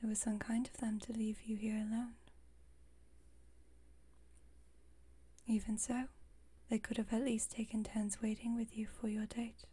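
A woman playing a haughty yet protective, playful female vampire in a soft, whispered ASMR roleplay, with formal, wry, teasing speech. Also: a little high, completely flat delivery, normal speed, husky. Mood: concerned, blunt.

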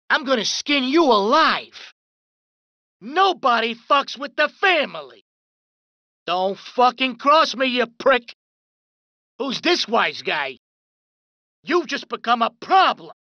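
A woman's voice: sits high, bright, forceful tone, dry recording, exaggerated voice. A woman voicing a hot-tempered male mafia street thug from a video game, brash and profane. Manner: brash, profane, swaggering tough-guy talk. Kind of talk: reciting video game pedestrian lines. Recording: dull lo-fi dry recording.

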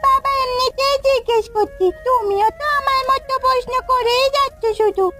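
একজন নারী কার্টুনে এক কৌতূহলী, জেদি ছোট্ট গ্রামের মেয়ের কণ্ঠ দিচ্ছেন, নাছোড়বান্দা আবদারের ভঙ্গিতে।. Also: female voice, very high-pitched, moderate pace, pushed and bright. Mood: curious, pleading. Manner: pleading, insistent questioning. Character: inquisitive, stubborn little village girl. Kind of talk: Bengali cartoon fairy-tale acting. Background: background music.

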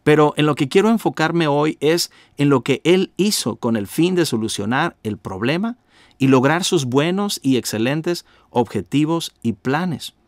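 A man in his thirties giving a calm, measured radio teaching, often asking the listener direct questions. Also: fairly high pitch, no room sound, studio quality. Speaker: pastor and radio host.

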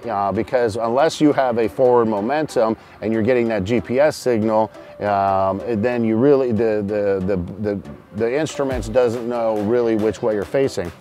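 Middle-aged man, mid-pitched voice, casual technical explainer, hosting a walkthrough outdoors, faint music behind. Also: darkish tone. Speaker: host, charter fishing captain. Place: outdoors on a boat roof at a marina.

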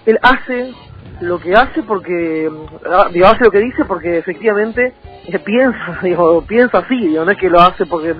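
A young man from Avellaneda with a Buenos Aires accent, giving a spontaneous political interview on radio in a halting way full of false starts. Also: sits high. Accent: Buenos Aires Argentine. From Avellaneda, Buenos Aires, Argentina. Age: young adult. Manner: halting, many verbal tics and false starts. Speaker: political candidate being interviewed. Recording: dull lo-fi radio audio with music.

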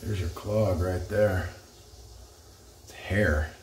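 A man working as a plumber, talking in a dry, matter-of-fact way while he clears a clogged drain. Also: low voice, fairly even intonation, very slow, a little mellow. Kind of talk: casual on-the-job narration. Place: indoor apartment bathroom. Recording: roomy bathroom echo, noisy work sounds.

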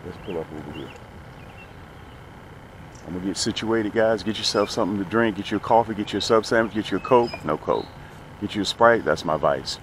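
A man's voice: on the low side, normal speed, pauses often. A middle-aged man talking to viewers in a casual, folksy fishing vlog, emphatic and fond of repeating phrases. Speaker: host of a fishing channel. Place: outdoors on a small boat on a lake. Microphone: action camera mounted near him. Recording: outdoor noise, small action camera.

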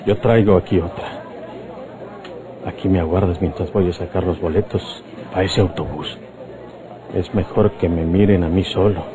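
An actor playing a calm, decisive Mexican outlaw on the run in a radio drama. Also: male voice, deep voice, normal speed, pauses often, close to an everyday voice, low-quality recording. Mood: urgent, controlled. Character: calm, armed fugitive outlaw man. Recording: radio drama with crowd behind.

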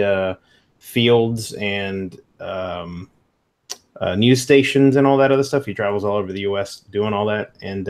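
A man in his thirties, co-hosting a podcast, chatting casually and profanely with joking asides over a video call from home. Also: on the low side. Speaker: podcast co-host. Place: home room with headphones.

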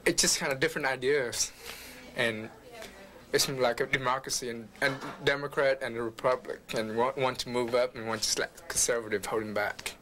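Young adult male student, bright voice, hesitant and searching. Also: mid-range voice, fairly even intonation, normal speed, a little hiss or hum. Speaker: college student. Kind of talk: casual interview answer.

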